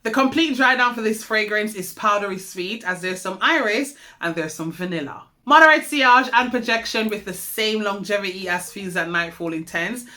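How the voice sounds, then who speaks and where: A woman's voice: medium pitch, moderate pace, hardly any pauses, sharp tone. A woman hosting a fragrance review vlog, chatty and stuffy-nosed from hay fever, often checking in with viewers. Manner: chatty, addresses viewers with tag questions. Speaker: perfume reviewer, channel host.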